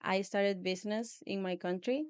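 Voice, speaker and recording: Venezuelan woman with a smooth, clear voice. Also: medium pitch, moderate pace. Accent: Venezuelan Spanish. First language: Spanish. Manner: relaxed, many "like" fillers, plain non-native phrasing. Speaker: studio founder, guest. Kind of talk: casual interview chat. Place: small podcast studio.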